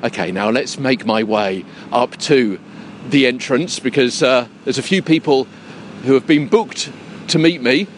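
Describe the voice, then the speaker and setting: A man's voice: medium pitch, a little slow. A man hosting a podcast on location, chatting and describing his surroundings as he goes. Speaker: podcast host. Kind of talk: on-location podcast presenting and interviewing. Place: on location at a big top tent in a park. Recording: generator hum and outdoor background noise. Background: faint generator and ambient noise.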